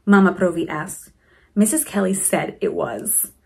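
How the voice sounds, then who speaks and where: A woman reading a storybook aloud to children in an expressive way, with chatty asides to her young listeners. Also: fairly low pitch, unhurried, vocal fry. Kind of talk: animated children's read-aloud.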